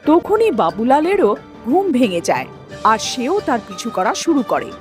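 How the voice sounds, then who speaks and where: A woman's voice: fairly high pitch, sing-song, unhurried, faint music behind. A woman telling a scripted ghost story as the narrator of a cartoon.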